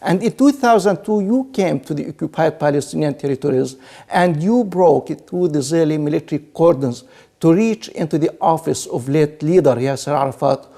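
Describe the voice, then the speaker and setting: A man's voice: on the high side, lively intonation, normal speed, warm tone. A man hosting a broadcast programme, speaking in a formal interviewing style.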